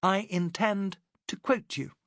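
A man voicing a spirited young British woman journalist, sharp and indignant. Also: high-pitched, lively intonation, slow, no room sound, clean studio sound, voice pushed up, heavily acted. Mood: cool.

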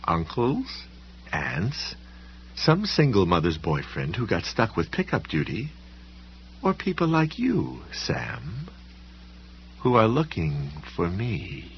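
Male reader as woman librarian, audiobook, polite, patronizing, quietly menacing, lilting, lifted pitch, muffled recording. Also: wildly swooping pitch, takes it slowly, a little hiss or hum, full character voice. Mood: coolly condescending. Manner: smooth, coolly dismissive, faintly patronizing.

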